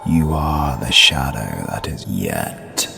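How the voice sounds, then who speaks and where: Deep, creaky, ominous otherworldly being. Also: male voice, very animated, exaggerated voice. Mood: solemn, cryptic. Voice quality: deep, gravelly. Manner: formal, measured, cryptic. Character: solemn, ominous male otherworldly entity, calm and cryptic. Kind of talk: audio roleplay acting. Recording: music behind the voice.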